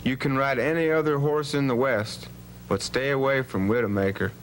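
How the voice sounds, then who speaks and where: A man's voice: mid-range voice, fairly even intonation, moderate pace, close to an everyday voice, low-quality recording. A man playing a bold, plain-spoken legendary cowboy rancher in an educational radio drama, with a folksy, authoritative manner. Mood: earnest, warning. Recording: hum and faint background noise.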